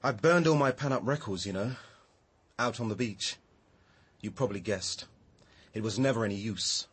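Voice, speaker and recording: A man's voice: on the low side, moderate pace, breathy edge. An actor playing a bitter, grieving, muddled man in a naturalistic radio drama, brooding and emotional. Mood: dejected.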